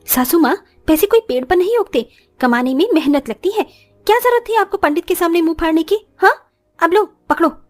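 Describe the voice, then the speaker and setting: A woman's voice: very high-pitched, animated, fairly quick, darkish tone. A woman voicing a haughty, spoiled young working daughter-in-law in a moral story cartoon, scornful and dropping English phrases into her Hindi.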